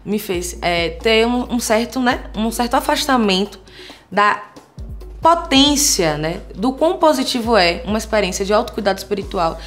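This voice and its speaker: A woman's voice: medium pitch, lively intonation, moderate pace, soft background music. A woman in her thirties from Salvador with a Bahian Portuguese accent, talking earnestly and conversationally to her viewers, often checking in with them. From Salvador, Bahia, Brazil. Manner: warm, earnest, conversational, frequent tag questions. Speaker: vlogger, content creator. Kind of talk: personal vlog talk to viewers.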